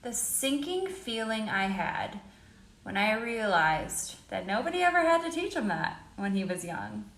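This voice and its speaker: A woman telling a story to camera in an animated, emphatic way. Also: medium pitch, unhurried, roomy sound.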